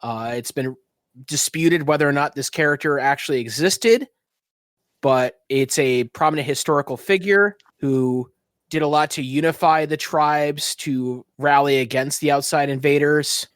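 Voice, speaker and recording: A man's voice: a little high, moderate pace, fairly bright tone, no room sound, clean studio sound. A man working as a game master, narrating an improvised tabletop story in a casual, colloquial way with joking banter. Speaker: game master and show host.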